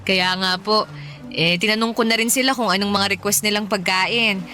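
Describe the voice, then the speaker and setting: A woman's voice: medium pitch, fairly even intonation, normal speed, faint music behind, close to an everyday voice. A woman playing a caring widowed mother in a radio drama, talking in an affectionate, everyday way. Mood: warm, conversational. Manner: affectionate, gently cautioning everyday talk. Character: caring widowed Filipino mother of two young boys.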